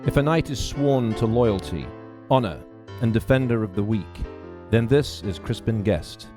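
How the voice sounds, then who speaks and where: A man's voice: fairly low pitch, lively intonation, normal speed, music in the background. A man reading a mystery novel aloud as a dramatic, scripted narration. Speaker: audiobook narrator, actor.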